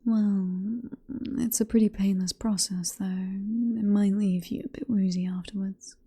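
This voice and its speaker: Vampire professor for ASMR roleplay, played by a woman: British, female, hushed breathy whisper, deeply mellow tone, possessive, teasing and coaxing. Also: steady tone. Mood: persuasive, soothing. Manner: coaxing, teasing, unhurried murmur. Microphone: close ASMR microphone.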